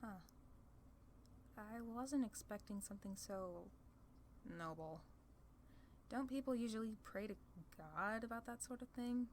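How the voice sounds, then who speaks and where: A woman's voice: a little high, unhurried, long pauses, slight background noise, lightly acted. A woman voicing a playful, proud demon ruler of hell in a casual, sardonic ASMR roleplay. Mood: pleased, gracious. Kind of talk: ASMR roleplay audio drama.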